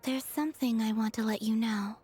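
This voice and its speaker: A soft, fairly high-pitched young moon maiden acted by a woman speaks over faint music. She is gentle, reserved and sincere, with a quiet, careful video game delivery. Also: fairly even intonation, brisk, natural voice. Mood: shy sincerity.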